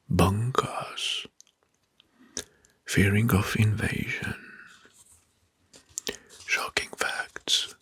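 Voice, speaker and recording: A man whispering an ASMR history narration in a breathy, mostly unvoiced voice, pausing between short phrases and often repeating words. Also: fairly low pitch, bright, forceful tone, dry recording. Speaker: ASMR host presenting history facts. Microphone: close microphone.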